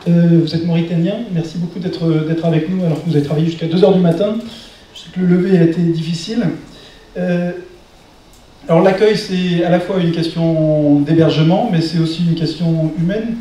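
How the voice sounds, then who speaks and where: A man is heard from a distance in a very reverberant room. His voice is soft-edged and mellow as he moderates a press conference, pausing to search for words. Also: fairly high pitch, steady tone. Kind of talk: moderating, introducing and questioning a speaker. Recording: very echoey room, distant mic.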